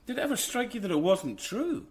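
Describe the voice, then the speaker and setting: A man's voice: high voice, sing-song, a little fast, a little hiss or hum. An actor playing a polite, earnest, anxious junior male police sergeant in a radio drama. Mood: questioning.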